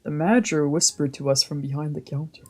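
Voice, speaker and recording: A woman's voice: low voice, quick pace, a little mellow. A woman streamer reading visual novel narration aloud on a let's play. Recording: music behind the voice.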